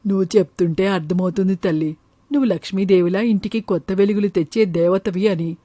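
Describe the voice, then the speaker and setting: A woman voicing a poor, gentle, humble farmer father in an animated moral story. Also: mid-range voice, a little fast, dry recording, lightly acted. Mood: tender.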